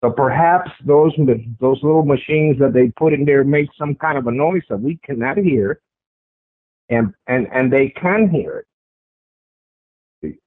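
A thin, phone-like line carries a man's strong, mid-pitched voice, close and dry. He talks as an interview guest, telling his own story conversationally. He repeats words and phrases to drive his points home. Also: measured pace, pauses often, dry recording. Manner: emphatic, with repeated phrases for stress. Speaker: interview guest recounting a personal sighting. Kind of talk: conversational first-person storytelling. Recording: tinny phone-like radio line.

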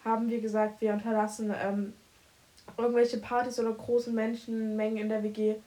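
A woman's voice: a little high, little pitch movement, brisk, mellow, poor audio. A woman in her twenties chatting casually as a podcast co-host, rambling and stopping often to search for words. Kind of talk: casual chat.

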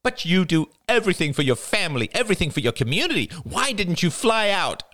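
A man's voice: high voice, sing-song, fast, fairly bright tone, voice pushed up, heavily acted. A man voicing a bitter, defiant young woman with a nasal voice that rises to a shriek. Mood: shrieking accusation.

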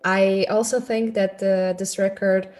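Podcast co-host, woman, measured and reflective, hunts for words. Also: mid-range voice, flat delivery, a little mellow, faint music behind. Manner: thoughtful, searching for words with fillers. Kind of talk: casual chat about music.

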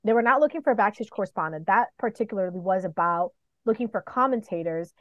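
A woman from New York City and the Dominican Republic chatting casually as an interview guest, telling stories in an animated, playful way. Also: medium pitch, quick pace, no room sound, muffled recording. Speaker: podcast guest, professional wrestler.

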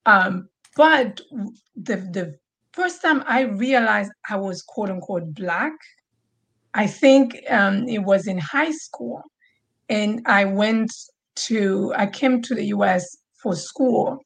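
A woman's voice: mid-range voice, animated, slow, creaky, a bit bright, breathy edge. A woman from Tanzania speaking as a panelist, talking conversationally with some hesitation. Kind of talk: panel discussion.

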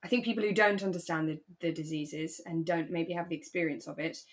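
A woman's voice: fairly low pitch, fairly even intonation, fairly quick. Chatty, reflective woman podcaster. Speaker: podcast co-host. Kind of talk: casual chat discussing a radio drama.

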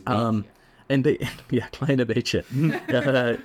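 A young man from England with an English accent, a guest telling stories in a relaxed, chatty way with frequent laughs and fillers. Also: medium pitch, very slow. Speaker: podcast guest. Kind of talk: casual interview chat.

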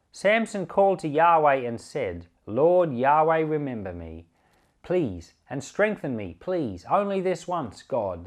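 Expressive man reading scripture aloud, lively pitch. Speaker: pastor and Bible reader. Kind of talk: scripture reading, narrated for a podcast.

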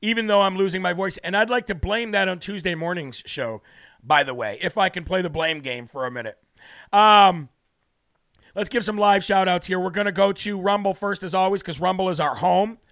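A man's voice: high voice, moderate pace, a bit bright, no echo, clean studio sound. A man from New Hampshire hosting a live political talk show, brash and preachy, losing his voice as he reads out viewer comments.

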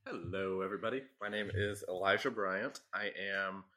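Deep, bright-toned young man. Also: normal speed. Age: young adult. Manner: teasing and joking. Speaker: podcast co-host. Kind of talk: casual chat.

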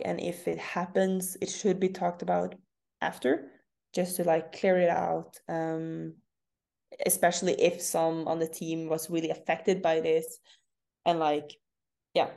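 A young woman from northern Norway talks in English with a Norwegian accent, a relaxed interview guest who laughs easily. Her voice sits low and sounds warm and mellow. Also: vocal fry. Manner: candid, laughs often. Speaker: CrossFit athlete guest. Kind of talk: casual interview.

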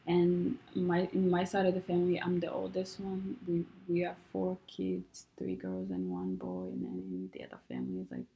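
Young woman, low gravelly voice, unhurried, hesitant guest. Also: lively intonation, slight background noise, rough recording. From Rwanda. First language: not English. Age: young adult. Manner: soft-spoken, hesitant, many fillers and restarts. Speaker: guest, college student. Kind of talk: casual conversation.

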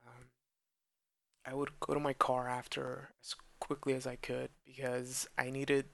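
A young man speaking slowly and earnestly in a personal monologue, with stuttered repeats and blocks. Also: medium pitch, completely flat delivery, creaky, a bit bright. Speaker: podcast host who stutters.

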